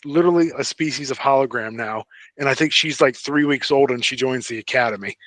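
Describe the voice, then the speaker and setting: A man's voice: medium pitch, brisk, a bit bright, dry recording. A man co-hosting a podcast in casual fan discussion, speaking hesitantly and often trailing off between thoughts. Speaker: podcast co-host.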